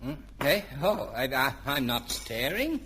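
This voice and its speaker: An actor playing a bumbling, self-important middle-aged dad in old-time radio comedy, hesitant and pompous. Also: male voice, medium pitch, big pitch swings, measured pace, pushed and bright. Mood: defensive, stubborn. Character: bumbling, self-important family dad dreaming he's a stage hypnotist. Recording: echoey old radio recording, faint hiss.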